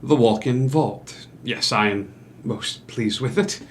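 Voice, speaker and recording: A man's voice: mid-range voice, takes it slowly, close to an everyday voice. Man acting a haughty, evasive swindling doctor. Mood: smooth, smug. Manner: haughty, formal, coolly dismissive. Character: smug, evasive doctor swindler stonewalling creditors. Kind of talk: stage musical table read.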